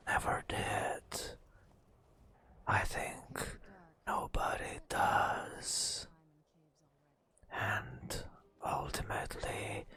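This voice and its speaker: A man narrating a fantasy game as hushed ASMR storytelling, in a breathy whisper with dramatic, storyteller phrasing and pauses.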